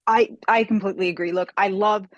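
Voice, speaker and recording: A woman's voice: medium pitch, steady tone, quick pace, dry recording, dull audio. A woman guest talking about her work as a fantasy author, chatty and enthusiastic, on a video call. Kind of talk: casual interview conversation.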